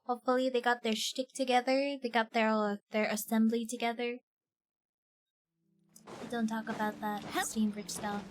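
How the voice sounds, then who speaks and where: A woman's voice: fairly high pitch, level tone, normal speed, noisy background. A young woman from Ireland chatting casually as she streams a game, informal and slangy, often self-deprecating. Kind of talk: casual live stream chat. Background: game music.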